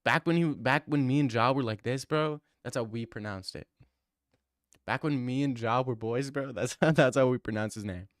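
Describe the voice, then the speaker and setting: A man's voice: medium pitch, moderate pace, dry recording, clean studio sound. A young man streaming and answering viewer comments in a casual, teasing way, full of mock bravado.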